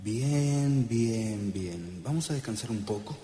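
A man's voice: fairly low pitch, lively intonation, slow. A man playing a lovestruck, evasive piano teacher with an Argentine accent in a comic radio soap. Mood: coaxing.

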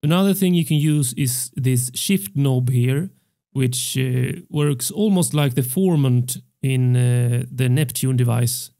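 Slow-paced, mellow young man teaching. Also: medium pitch. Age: young adult. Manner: measured, step-by-step explaining. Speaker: music production tutorial host. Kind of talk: tutorial narration. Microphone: close studio microphone on a stand.

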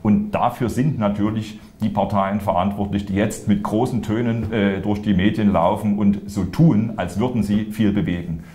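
Deep-voiced man in an echoey room. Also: fairly even intonation, normal speed, hardly any pauses, mellow. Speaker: politician, party spokesman. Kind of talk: interview answers, political commentary. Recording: roomy echo.

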